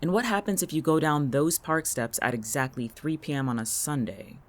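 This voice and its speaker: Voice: mid-range voice, lively intonation, moderate pace, lightly acted. An actor in an audio drama playing an irritable, sardonic, coolly calculating town resident with a dry, biting wit. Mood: probing, cold. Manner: biting, sarcastic, dry-witted.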